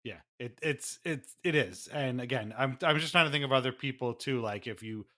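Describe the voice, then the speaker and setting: A man's voice: medium pitch, normal speed, bright, forceful tone. A man co-hosting a casual sports podcast, talking in a wry, amused, conversational way. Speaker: podcast co-host.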